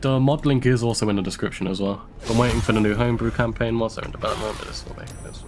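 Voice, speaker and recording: Stuffy-voiced London streamer. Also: male voice, mid-range voice, fairly even intonation, racing, music in the background. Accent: London English. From United Kingdom. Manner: chatty, laughs often, thinks aloud. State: stuffy from illness. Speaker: live streamer. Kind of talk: casual gameplay commentary.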